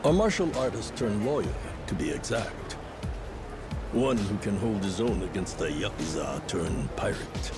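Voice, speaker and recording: An actor playing a courtly, self-assured old martial artist turned lawyer who speaks in formal, polite, wordy phrases. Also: male voice, fairly low pitch, animated, unhurried, over music. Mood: confident.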